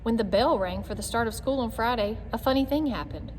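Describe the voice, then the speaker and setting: A woman reading a children's chapter book aloud in an expressive, clearly phrased narration style. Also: on the high side, a little fast. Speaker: read-aloud reader. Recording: long echoey reverb, background noise.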